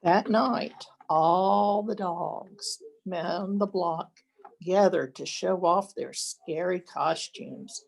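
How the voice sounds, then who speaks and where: Older woman, slow husky storybook reader. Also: fairly low pitch, a little mellow, rough recording. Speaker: grandmother reading a picture book. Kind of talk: expressive children's storybook read-aloud.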